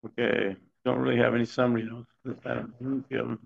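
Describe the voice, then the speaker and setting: A hoarse man with little voice, chairing a board meeting briskly. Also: medium pitch, flat delivery. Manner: brisk and procedural. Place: meeting room. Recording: dull lo-fi meeting recording.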